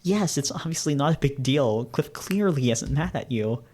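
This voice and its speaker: A man's voice: mid-range voice, lively intonation, normal speed, a little mellow, close to an everyday voice. A man voicing a blunt, exasperated female best friend in a visual novel, with a scolding manner.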